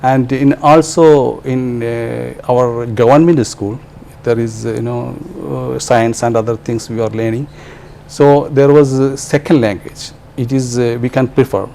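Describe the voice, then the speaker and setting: A man's voice: mid-range voice, steady tone, measured pace, gravelly, warm tone, a little hiss or hum. A man from Kerala with a Malayali English accent, a translator answering interview questions hesitantly with many fillers and restarts; his first language is Malayalam. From Kerala, India.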